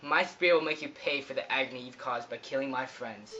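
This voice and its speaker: A man's voice: medium pitch, brisk, pushed and bright, highs cut off, faint music behind, lightly acted. A man playing a vengeful, merciless Greek warrior in a mythological puppet show. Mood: menacing.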